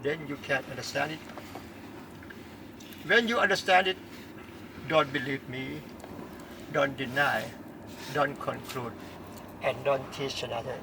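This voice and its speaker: A man from Thailand speaking English with a Thai accent, giving an earnest, deliberate Dharma talk and repeating his key points. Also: medium pitch, distant mic, background noise. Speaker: Buddhist Dharma teacher.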